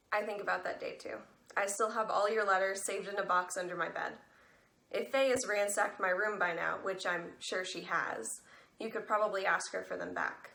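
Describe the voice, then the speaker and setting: A woman's voice: medium pitch, vocal fry, bright, forceful tone. A young woman acting a teenage girl, wry and wistful, reading letters in a stage monologue. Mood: teasing, wistful. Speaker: stage actor.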